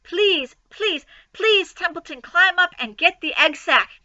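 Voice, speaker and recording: A woman voicing an excitable young male pig in a storybook reading, high, loud and pleading. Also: moderate pace, dry recording, highs cut off, exaggerated voice. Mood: frantic.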